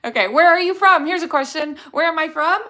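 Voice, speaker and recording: Bright-voiced chatty woman with a Chicago accent. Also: extremely high pitch, a little fast. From Chicago area. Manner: chatty, rambling, answers questions from chat. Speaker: dental hygienist, host. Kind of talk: livestream Q&A, answering chat.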